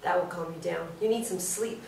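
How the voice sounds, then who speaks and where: An actress in a play reading playing a brisk, soothing hospital nurse who gives firm reassurance in short phrases. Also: female voice, on the low side, vocal fry, darkish tone. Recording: roomy, distant mic, faint noise.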